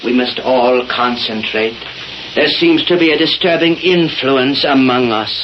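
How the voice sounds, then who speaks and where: An actor playing a crooked séance medium, theatrical and insistent, in 1930s radio style. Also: male voice, mid-range voice, unhurried, natural voice. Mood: solemn. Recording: muffled noisy old radio recording.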